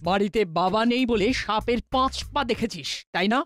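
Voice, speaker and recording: A woman's voice: mid-range voice, fairly quick, no echo, lightly acted. An actress voicing a bossy, firm mother who nags her young son in a cartoon. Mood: scolding. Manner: nagging, exasperated, firm. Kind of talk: cartoon voice acting.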